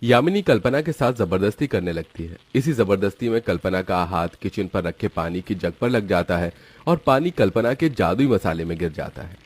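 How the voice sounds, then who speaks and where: A man's voice: low voice, expressive, moderate pace, breathy edge, no room sound. A man narrating a scripted children's moral story as its storyteller.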